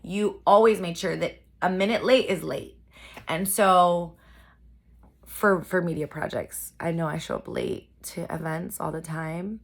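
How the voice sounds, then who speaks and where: A young woman telling a story in a casual vlog, chatty and animated, talking straight to her viewers. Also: lowish voice, measured pace, creaky, breathy edge. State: congested from a cold. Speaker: vlogger and poet.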